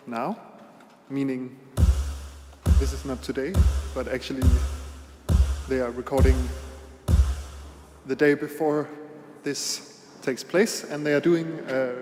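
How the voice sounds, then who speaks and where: A man reports for radio in a slow, halting delivery, improvising as he goes. His voice sits in a long reverb, with faint music around it. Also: a little high, steady tone, darkish tone. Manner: halting, with long searching pauses.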